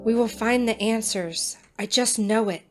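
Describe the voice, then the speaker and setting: A woman's voice: fairly high pitch, a little slow, over music, close to an everyday voice. A woman playing an upbeat, earnest young cadet in an audio drama, speaking eagerly and sincerely. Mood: earnest, hopeful.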